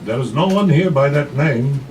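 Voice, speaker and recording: A shrewd, stout Russian brothel madam of the old days acted out by a male reader haggles with theatrical flair. The pitch swings widely, and the live recording is noisy and a little far from the mic. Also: lively intonation, moderate pace, darkish tone, natural voice. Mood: guarded. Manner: haggling, theatrical, repeats phrases for emphasis.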